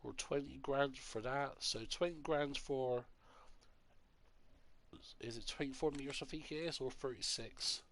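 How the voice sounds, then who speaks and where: A man's voice: mid-range voice, unhurried, fairly bright tone. A man with a stuffy nose from a cold, casually thinking aloud as he plays a farming game for his channel. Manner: rambling, thinking aloud while playing. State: congested from a cold. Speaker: gaming channel host. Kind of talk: casual gameplay commentary.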